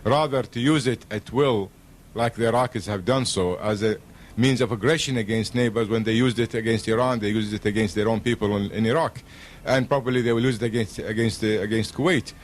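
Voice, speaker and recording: A man's voice: lowish voice, fairly even intonation, fairly quick, a little hiss or hum. A man speaking as a radio guest in a formal political discussion, earnest and emphatic, with diplomatic phrasing.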